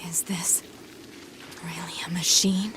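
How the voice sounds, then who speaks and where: A woman's voice: on the low side, level tone, takes it slowly, background noise. A woman voicing a stern, terse, controlled android soldier in a video game. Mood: puzzled.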